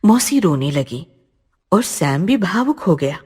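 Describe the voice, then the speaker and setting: Slow, animated woman narrating horror. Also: fairly low pitch, slightly rough. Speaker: storyteller, narrator. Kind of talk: dramatic scripted horror narration. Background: background music.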